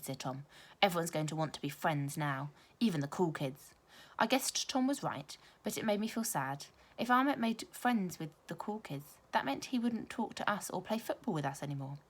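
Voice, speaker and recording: A woman teacher reading a children's story aloud, with expressive, emphatic storytelling. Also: fairly low pitch.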